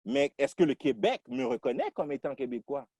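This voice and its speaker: A man from Quebec talking through his identity in a casual podcast discussion, reflective and halting, restarting his sentences often. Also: mid-range voice, sing-song, darkish tone, husky, no echo, clean studio sound.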